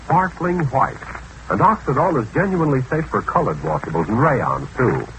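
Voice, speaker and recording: Man, old-time radio pitchman, animated swooping intonation, scripted sales delivery, noisy recording. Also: normal speed. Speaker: commercial announcer. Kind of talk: 1940s radio advertising pitch.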